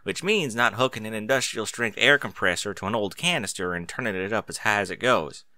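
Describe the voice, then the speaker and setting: A man's voice: on the low side, fairly quick, pushed and bright, dry recording, clean studio sound. A man voicing a practical farm mare, a protective, exasperated big sister with plain-spoken, folksy talk. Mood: weary.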